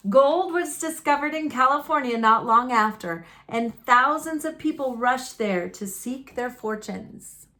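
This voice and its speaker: Female storyteller for a picture book read-aloud. Bright, forward tone, unhurried, folksy and expressive. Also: high voice. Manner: folksy, dramatic, deliberate delivery.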